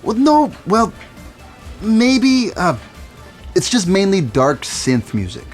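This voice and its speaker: A playful record store clerk, teasing, lively swooping voice, raised pitch. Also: male voice, takes it slowly, faint music behind, heavily acted. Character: young record store clerk, teasing goth music fan. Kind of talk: audio drama acting.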